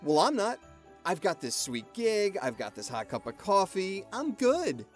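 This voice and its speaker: A man's voice: high voice, huge pitch swings, unhurried, soft background music, heavily acted. A man playing a grumpy, sarcastic loner of a monster hunter in an audio drama.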